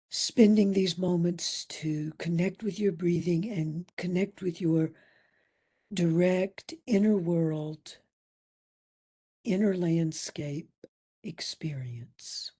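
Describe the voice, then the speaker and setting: A woman leading a guided meditation as instructor, soft and breathy, soothing and gentle, leaving long pauses between phrases. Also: lowish voice. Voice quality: soft, breathy, at times near whisper.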